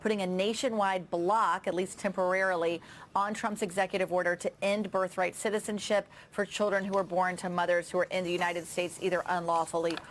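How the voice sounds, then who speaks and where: A woman correspondent giving a measured live news report. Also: lowish voice, level tone, a little hiss or hum.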